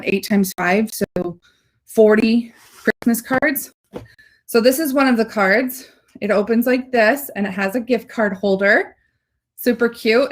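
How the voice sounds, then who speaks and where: Woman host, low-voiced and chatty, gushes with enthusiasm through a casual livestream. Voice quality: low-pitched voice.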